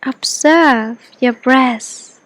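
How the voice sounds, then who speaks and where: Female meditation guide, high soft voice, extremely unhurried delivery, faint ambient noise behind her. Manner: soft, gentle, soothing, with long pauses.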